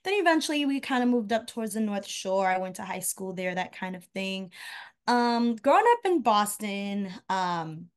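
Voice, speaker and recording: A woman's voice: medium pitch, big pitch swings, normal speed, smooth. A young woman from Haiti, a recording artist, chatting casually as a guest over a video call, with lots of fillers and trailing asides. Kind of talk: casual interview chat. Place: home room, indoors. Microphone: video call webcam.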